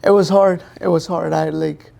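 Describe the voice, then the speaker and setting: A young man from Pakistan, a native Urdu speaker, talks in a gravelly, mellow voice pitched a little high. He answers plainly, thinking aloud and leaning on fillers as he goes. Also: fairly even intonation, normal speed. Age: young adult. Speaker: guest, professional boxer. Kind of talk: interview answers.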